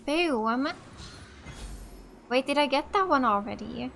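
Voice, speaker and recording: A woman giving casual, expressive, exclamatory let's play commentary. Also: sits high, slow, creaky, slight background noise. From Finland. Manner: expressive, exclamatory, reading aloud and reacting. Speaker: gaming streamer. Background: game music.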